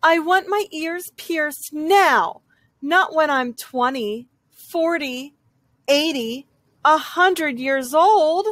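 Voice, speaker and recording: An impatient young storybook girl, acted out by a woman, pleads in a high voice. She is melodramatic and insistent, dragging her words out slowly. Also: a bit bright, no room sound, heavily acted. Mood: insistent pleading.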